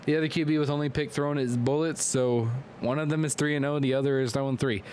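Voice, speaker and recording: A man hosting a live stream and excitedly calling play-by-play sports commentary while losing his voice from a clogged nose. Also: mid-range voice, slight background noise. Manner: excitable, hyped play-by-play with quick asides to chat. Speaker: league commentator and stream host.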